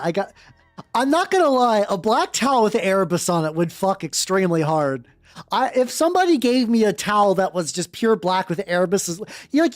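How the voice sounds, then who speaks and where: A woman's voice: mid-range voice, dry recording. A woman hosting a live stream, chatting casually and reading fanfiction aloud with sweary, slangy, wry asides. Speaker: streamer, vtuber host. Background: background music.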